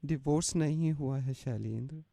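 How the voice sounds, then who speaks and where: A soft-spoken woman in a phone conversation, hesitant and reluctant in the way she answers. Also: sits low, moderate pace, creaky, crowd noise. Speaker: prank call recipient.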